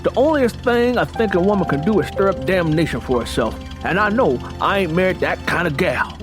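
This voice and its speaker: A man performs a hot-tempered, hard-drinking country husband with a drawl. The voice sits fairly high and swings widely as he lays down the law in emphatic, folksy speech. Music plays clearly underneath this storybook drama. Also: expressive, fairly quick. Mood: angry, domineering. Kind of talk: dramatized audiobook character dialogue. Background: music bed.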